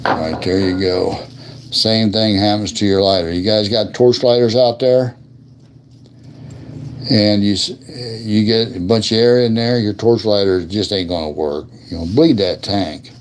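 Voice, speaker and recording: A man with a deep voice chatting casually and in a folksy, colloquial way as he hosts a hands-on demonstration of lighter repair. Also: measured pace. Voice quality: gravelly. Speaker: host of a lighter repair livestream.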